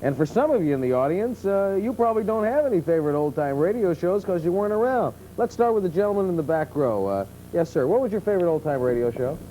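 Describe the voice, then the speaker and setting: An American man works as a conversational television host. His voice is mellow, somewhat high for a man and very animated as he puts questions in a studio, with faint rumble behind. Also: fast, hardly any pauses, low-quality recording. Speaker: talk show host. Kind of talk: conversational interviewing of guest and audience.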